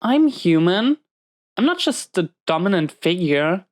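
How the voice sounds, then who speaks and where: Low-voiced, unhurried dominant female inmate, acted by a woman. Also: sing-song, a little mellow. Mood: hurt, stern. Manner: commanding, clipped orders with hesitant confessions. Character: dominant, guarded female prison inmate, stern but secretly tender. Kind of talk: intimate roleplay audio drama.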